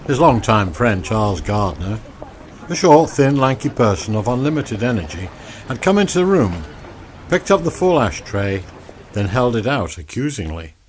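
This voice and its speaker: A man narrating a scripted radio drama in a theatrical, deliberate manner. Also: on the low side, big pitch swings, slight background noise.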